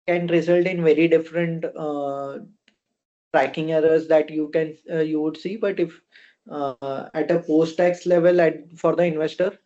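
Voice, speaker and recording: A man with an Indian accent giving unscripted answers as a company officer on a conference call, phrasing things haltingly. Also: on the high side, warm tone. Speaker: fund company officer answering investor questions. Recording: dull, lo-fi conference call audio.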